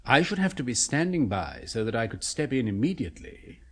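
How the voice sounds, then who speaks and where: A man of science in a 1970s radio chiller, fervent and persuasive. His pitch leaps about with excitement and his voice has a husky edge. He explains macabre things with clinical precision and coaxing zeal. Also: a little fast. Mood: clinically eager. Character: eager, persuasive male scientist obsessed with a macabre experiment. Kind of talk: 1970s British radio horror play acting.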